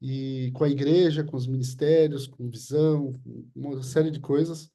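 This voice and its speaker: Um homem com sotaque brasileiro e voz muito escura, um pastor que apresenta um convidado e conduz uma oração. Ele fala de forma hesitante, com muitas pausas e muitos "né" e "é". O tom é informal e próximo. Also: male voice, mid-range voice, fairly even intonation, creaky, dark tone. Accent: Brazilian Portuguese. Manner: halting, with many fillers and restarts. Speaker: pastor hosting a church leaders' meeting. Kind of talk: informal introduction and spoken prayer.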